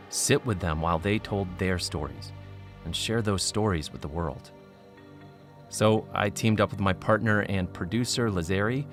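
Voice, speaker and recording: Skeptical male paranormal investigator played by an actor, American, low register, casual wry podcast narration for an audio drama. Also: lightly acted. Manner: casual, confiding, wry, swears. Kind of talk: scripted narration and field recording in audio drama.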